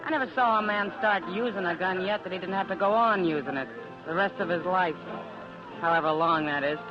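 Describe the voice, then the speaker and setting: A woman's voice: fairly low pitch, fairly quick, natural voice. An actress playing a worldly, protective frontier woman in a radio Western, speaking frankly and with some world-weariness. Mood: frank warning. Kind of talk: 1950s radio Western acting. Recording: dull vintage radio recording with music.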